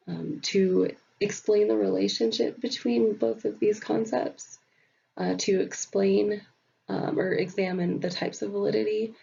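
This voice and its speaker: Woman presenting slides, nasal from illness, gravelly and husky, dull lo-fi sound. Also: fairly low pitch, dark tone. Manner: many fillers, explanatory. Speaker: student presenter.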